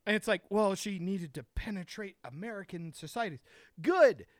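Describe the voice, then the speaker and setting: A man's voice: high-pitched, expressive, normal speed, no room sound, studio quality. A man ranting heatedly and profanely in a casual chat among friends.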